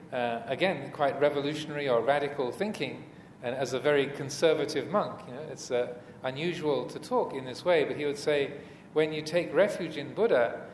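Reflective man teaching in an echoing hall. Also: medium pitch. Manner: measured, reflective, pausing often between short phrases. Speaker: Buddhist monk teacher giving a dharma talk. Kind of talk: unscripted dharma talk. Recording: echoey reverberant hall with background noise.

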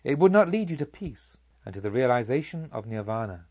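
Man voicing a serene, solemn sage, slightly husky. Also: mid-range voice, big pitch swings, darkish tone, dry recording, muffled recording. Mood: solemn teaching. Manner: measured, rhetorical, solemn teaching. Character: serene Buddha, male sage teacher, kindly and solemn. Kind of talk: audiobook character speech.

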